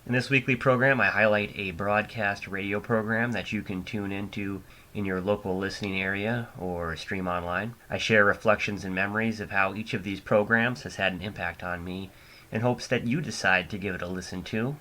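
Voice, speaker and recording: Deep-voiced American man hosting amateur radio. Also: steady tone, sharp tone. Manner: deliberate, drawn-out phrasing with pauses. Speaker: amateur radio show host. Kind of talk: scripted radio show narration. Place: home studio. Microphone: older hobbyist microphone. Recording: faint noise, amateur home microphone.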